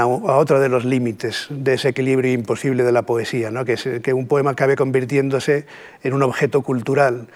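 A middle-aged man from Catalonia with a Catalan Spanish accent, giving a measured, reflective poetry lecture with pauses and rhetorical asides. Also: mid-range voice.

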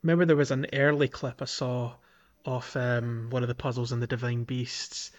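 A man's voice: medium pitch, little pitch movement, moderate pace, muffled recording. A man co-hosting a podcast, chatting casually and with enthusiasm about a video game. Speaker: podcast co-host.

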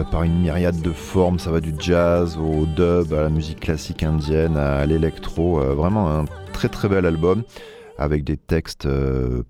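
A man's voice: rumbling low, mellow. A man with a French accent hosting a radio music show, talking casually with many hesitant filler sounds.

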